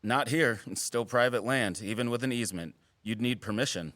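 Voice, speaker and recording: An actor playing a wry, guarded wildlife biologist in an audio drama, with teasing, dry sarcasm and an earnest streak. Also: male voice, mid-range voice, fairly quick, fairly bright tone, no room sound, natural voice. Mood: matter-of-fact. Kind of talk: naturalistic audio drama acting.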